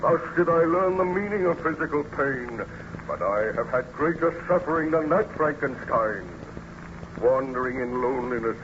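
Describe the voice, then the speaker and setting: Monster played by male actor: wretched, menacing, slow and declamatory; vintage radio horror, noisy, dull recording. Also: mid-range voice, expressive, lightly acted. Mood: mournful. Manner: slow, grave, declamatory, formal diction. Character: wretched hideous man-made monster, bitter, menacing, self-pitying. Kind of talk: old-time radio drama acting.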